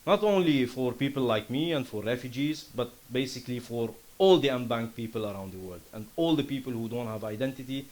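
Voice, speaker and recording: Earnest Lebanese-accented man pitching. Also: brisk, few pauses, a little mellow. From Syria. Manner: earnest, impassioned. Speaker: startup founder presenting. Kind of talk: conference pitch and Q&A.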